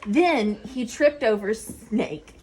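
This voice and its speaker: A woman reading a children's story expressively, with deliberate phrasing and dramatic pauses. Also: on the high side, a little mellow, a little hiss or hum, poor audio. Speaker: storybook reader.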